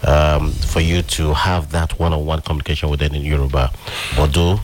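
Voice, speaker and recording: A man's voice: rumbling low, level tone, brisk, a little hiss or hum. A man with a Nigerian accent, speaking on a studio panel and explaining his view at length. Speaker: panelist on a talk show.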